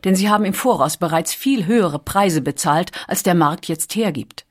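A woman reading scripted documentary audiobook narration in a measured, articulate storytelling manner. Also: lowish voice.

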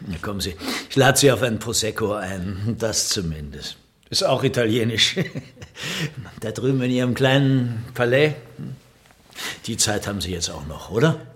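A man's voice: fairly low pitch, expressive, unhurried. A man playing a chatty, jovial middle-aged art curator in a radio drama, talking in a colloquial, breezy, coaxing way. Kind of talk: conversational radio drama acting.

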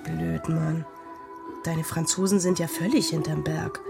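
Creaky, scornful teenage girl. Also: sits low, fairly even intonation, measured pace, music in the background. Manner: dismissive, teasing. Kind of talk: radio drama acting.

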